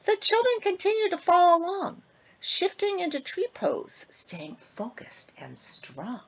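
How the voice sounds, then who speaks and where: A woman reading a rhyming picture book aloud to children in an expressive, sing-song manner, with phrases that often end softly. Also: extremely high pitch, unhurried, rough recording. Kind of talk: storybook read-aloud for children.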